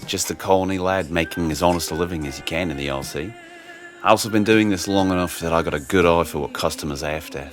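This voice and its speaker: A man's voice: sits low, fast talker, lightly acted. A man playing a friendly, knowing colonist bartender in a sci-fi radio drama, chummy and slangy. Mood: easygoing, knowing. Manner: chummy, slangy, calls listener mate. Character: friendly, knowing male space-colonist bartender with pirate tattoos. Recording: music behind the voice.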